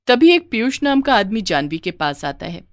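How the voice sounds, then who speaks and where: Woman reading a bedtime moral story, medium pitch, very dry studio sound. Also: big pitch swings, normal speed, no room sound. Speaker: narrator. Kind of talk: scripted storytelling narration.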